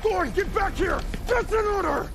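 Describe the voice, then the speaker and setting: A man's voice: very high-pitched, normal speed. An actor playing a tough male squad leader who barks military orders. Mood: urgent, barking. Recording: loud music and combat noise.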